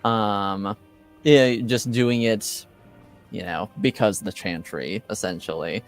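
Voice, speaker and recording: A man explaining a tabletop game world as game master, in halting, tentative phrases. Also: fairly low pitch, soft background music.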